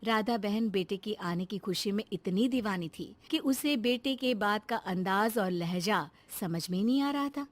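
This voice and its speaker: A woman's voice: mid-range voice, animated, unhurried, few pauses. A woman narrating a scripted moral story in the third person.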